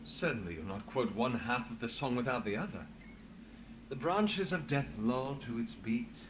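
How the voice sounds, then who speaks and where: A man plays a weary, guarded inspector in a fantasy video game. His mid-pitched voice moves expressively as he gives formal, measured commands. There is faint noise behind him. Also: lively intonation, close to an everyday voice. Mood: probing. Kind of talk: scripted video game acting.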